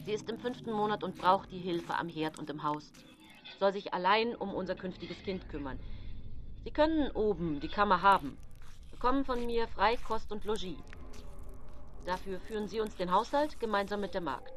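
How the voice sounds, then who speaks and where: A woman's voice: medium pitch, animated, normal speed, natural voice. A woman voicing a plain-spoken male master cartwright, practical and reassuring, in radio drama dialogue.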